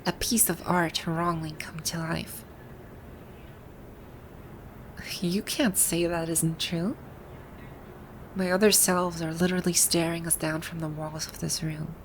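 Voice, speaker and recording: A woman's voice: on the low side, fairly even intonation, unhurried, slight background noise, poor audio. A woman playing a haughty, easily flustered female final-boss villain from a video game in an ASMR roleplay, with an imperious, indignant manner. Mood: dejected. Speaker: voice actor.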